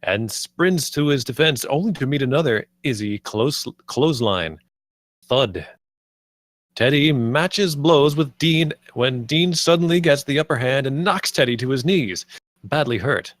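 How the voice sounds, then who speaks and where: A man's voice: mid-range voice, animated, measured pace, no room sound. Man narrating screenplay action, dry sound. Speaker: stage directions reader.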